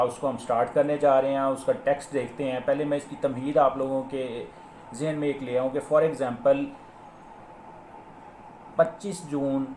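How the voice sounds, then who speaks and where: A man's voice: mid-range voice, darkish tone. A man with a Pakistani accent giving an explanatory law lecture, mixing in English legal terms. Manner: explanatory, mixes Urdu with English legal terms, slows when reading out clauses. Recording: echoey room, faint background noise.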